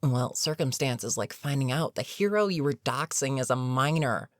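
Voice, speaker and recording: A voice actor playing an older, experienced villain in an audio roleplay, weary and dryly sarcastic but reluctantly protective, with a wry, deliberate delivery. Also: mid-range voice, dry recording, studio quality, natural voice. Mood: exasperated, wry. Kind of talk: intimate audio roleplay monologue.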